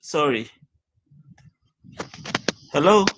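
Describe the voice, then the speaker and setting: A man with a high-pitched voice, a guest on a livestream chat who protests defensively. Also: expressive, very slow, background noise.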